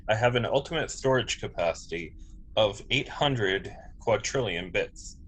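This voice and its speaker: A man's voice: fairly low pitch, animated, unhurried, a bit bright, slight background noise, low-quality recording. A man playing a literal, polite android officer who speaks formally and precisely in a sci-fi table read. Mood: factual, precise.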